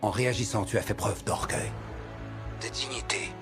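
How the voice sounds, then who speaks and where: A grave, commanding man played by a male actor speaks low, lecturing like a boss in a dramatic film. Music runs clearly under his voice. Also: normal speed, lightly acted. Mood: grave, measured.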